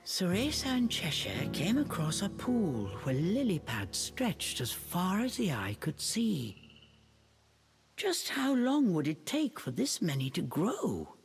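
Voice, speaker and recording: A woman's voice: lowish voice, wildly swooping pitch, slow, heavily acted. A woman playing the storybook narrator of a video game, reading scripted fairy-tale narration. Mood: storytelling. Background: music.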